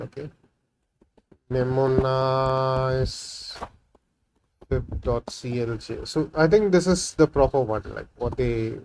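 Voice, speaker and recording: A man whose first language is Tamil walks through a programming problem out loud in a loose, unscripted way. He keeps checking in with "right?" and "okay, so" while testing. His voice sits at an ordinary middle pitch, with a faint hiss and clicking under it. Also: vocal fry, low-quality recording. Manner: thinking aloud, many fillers and "right?" tags. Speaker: programming tutorial host. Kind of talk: casual screen-recorded coding walkthrough.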